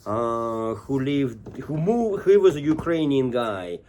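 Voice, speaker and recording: A man's voice: mid-range voice, expressive, slow pace, darkish tone, slight background noise. A middle-aged man from Moscow with a Russian accent, telling stories casually and informally as an interview guest. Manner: informal, tells anecdotes with quoted dialogue. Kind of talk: casual conversational storytelling.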